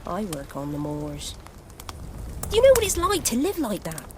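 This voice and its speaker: An actress playing an earnest young woman searching for her father and longing for an exciting life. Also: medium pitch, a little slow, noisy background. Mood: wistful. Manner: earnest, wistful. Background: game score music.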